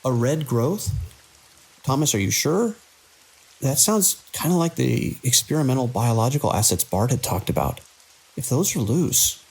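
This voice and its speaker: A man playing a weary, conscientious hospital director in an audio drama, with a gravelly, husky voice. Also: mid-range voice, expressive, moderate pace, a little mellow, rough recording. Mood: anxious, urgent. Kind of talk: audio drama acting, log dictation and urgent calls.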